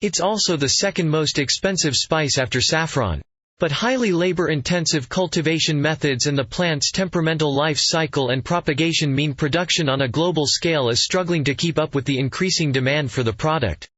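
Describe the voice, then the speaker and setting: A man's voice: on the high side, fairly quick, a bit bright, no echo. A man reading exam practice passages aloud in a scripted style. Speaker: test-practice reader. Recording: dull lo-fi dry recording.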